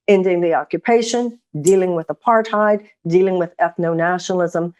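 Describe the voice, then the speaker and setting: A woman hosting a serious political interview, earnest and measured, building her points in long sentences. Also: mid-range voice, darkish tone. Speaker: host.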